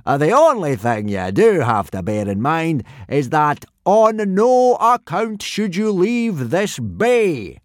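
A man puts on a bellowing Viking pirate teacher from a storybook, pitched up from his own voice and pushed loud. He drags his words out for weight, with a hearty, careless bluster. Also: very animated, slow pace, hardly any pauses, dry recording, clean studio sound. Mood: booming. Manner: booming, bellowing.

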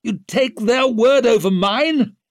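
A man voicing a desperate, self-pitying accused nobleman in an audiobook, shrill, blustering and wheedling. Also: big pitch swings, slow pace, vocal fry, no echo, studio quality, heavily acted. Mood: furious. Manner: wheedling, melodramatic, indignant.

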